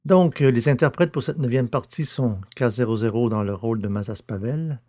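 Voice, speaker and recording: A man with a mild sore throat narrating a scripted audio story and presenting it, subdued and low in energy. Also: brisk, a little mellow.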